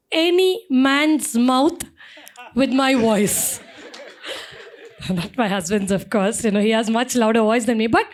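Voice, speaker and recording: A woman in her thirties, an event emcee, answers panel questions in a strong, commanding voice. She pushes her points emphatically and expressively, with plenty of fillers, in a fairly high register for someone so assertive. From India.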